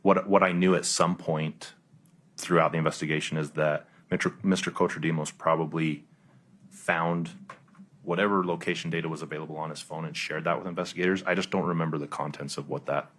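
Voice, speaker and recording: A male investigator testifying in a courtroom, answering in a halting, careful, hedging way. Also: extremely low pitch, level tone, fairly bright tone. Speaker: police investigator testifying as witness.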